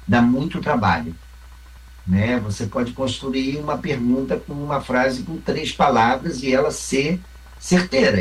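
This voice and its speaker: A man's voice: mid-range voice, distant mic, rough recording. A man from the south of Brazil with a Carioca accent, a mime and theatre company member, answering interview questions in a reflective way, explaining with examples and often asking "né?". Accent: Carioca Brazilian Portuguese. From Rio Grande do Sul, Brazil.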